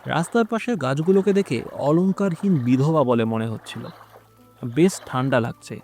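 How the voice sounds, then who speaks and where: A man narrating a scripted horror story in a dramatic, expressive storytelling manner. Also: medium pitch, moderate pace. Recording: music behind the voice. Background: background music.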